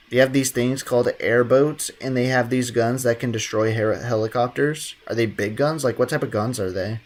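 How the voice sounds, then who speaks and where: A man live-streaming a game, chatting casually and cracking irreverent, slangy jokes as he reacts to it and to viewers. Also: medium pitch. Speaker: game livestreamer. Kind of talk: casual chat over gameplay, reacting and answering viewers.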